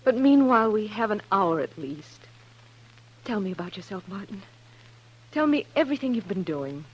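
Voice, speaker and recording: A woman's voice: mid-range voice, very animated, normal speed, highs cut off, natural voice. An actress playing an earnest, anxious, sheltered young woman in a 1950s radio western, polite and given to exclaiming. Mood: eager, affectionate. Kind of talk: 1950s radio drama acting. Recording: humming, noisy 1950s radio recording.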